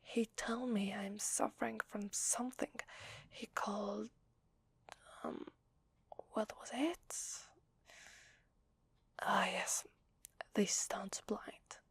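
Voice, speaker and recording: Weary female vampire, acted by a woman, Italian accent, hesitant and confiding; close, dry ASMR whisper with some gravelly fry. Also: medium pitch, fairly even intonation, slow pace, pauses often, no echo, full character voice. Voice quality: breathy whisper. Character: weary, desperate, apologetic young-sounding female vampire.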